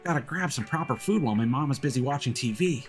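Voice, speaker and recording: A man's voice: mid-range voice, expressive, fast talker, faint music behind, close to an everyday voice. A man voicing a dramatic, hungry schoolkid in a video game, with exaggerated delivery. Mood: exasperated.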